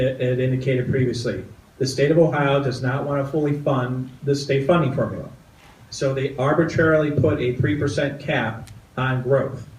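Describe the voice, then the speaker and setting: A man answering an audience question about school funding in a calm, factual way. His voice sits at a middle pitch. The sound is echoey and distant. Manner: measured, factual explanation. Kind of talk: explaining school funding at a meeting. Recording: roomy, distant, with faint noise.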